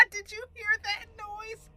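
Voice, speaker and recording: A woman hosting a gaming channel, chatting excitedly through her gameplay and cheering on the characters. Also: very high-pitched. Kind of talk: casual let's-play commentary. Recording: noisy background.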